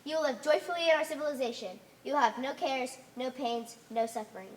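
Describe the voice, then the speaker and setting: Stern, commanding cult high priestess played by a woman, high pitch, formal and solemn stage delivery. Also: brisk, natural voice, rough recording. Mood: solemn, threatening. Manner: formal, authoritative, solemn declamation. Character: stern, commanding cult leader of blue people, addressed as Holy One. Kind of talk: stage play acting. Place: theatre stage. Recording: live stage recording.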